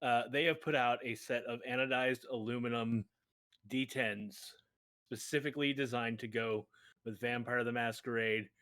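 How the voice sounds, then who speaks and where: A man's voice: mid-range voice, steady tone, moderate pace, a bit bright, dry recording. Chatty man reading a sponsor plug, dry sound. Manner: chatty, jokey, enthusiastic. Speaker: show regular reading sponsor announcements. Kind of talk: casual ad-libbed sponsor read.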